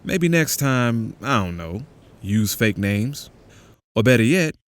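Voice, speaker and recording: A man's voice: mid-range voice, sing-song, slow pace. A man playing a bitter, sardonic conspiracy believer in an audio drama, talking in an accusing, emphatic way. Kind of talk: audio drama, naturalistic phone conversation.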